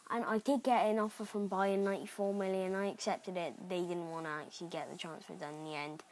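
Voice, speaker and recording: A young man from Cardiff with a Cardiff Welsh accent, chatting excitably through gameplay commentary with rambling asides. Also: high voice, quick pace, slight background noise. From Cardiff, Wales. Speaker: gaming YouTuber.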